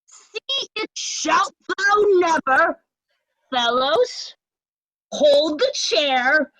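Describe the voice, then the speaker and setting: A woman's voice: sits high, sing-song, very slow, sharp tone, no room sound, full character voice, low-quality recording. A woman playing a cruel, commanding nobleman in a readers' theatre reading of Shakespeare. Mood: menacing. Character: cruel, commanding nobleman Cornwall, sadistic interrogator.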